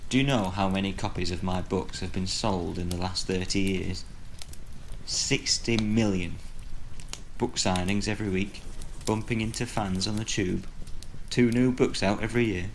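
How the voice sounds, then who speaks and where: Audio drama lead: older male horror writer, low gravelly register, reflective and wry, faint noise behind. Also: fairly even intonation, measured pace, low-quality recording. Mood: proud. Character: retired horror storyteller, older man, wry and brooding. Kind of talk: audio drama dialogue and storytelling.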